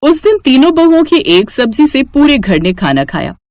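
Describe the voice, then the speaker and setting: A woman's voice: high-pitched, sing-song, normal speed, a little mellow, no room sound. A woman narrating a scripted family moral story.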